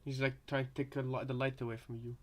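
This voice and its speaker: A man with a mid-pitched, fairly flat voice gives casual co-commentary on a reaction. He thinks out loud, halting and repeating phrases as he works toward his point. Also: a little hiss or hum.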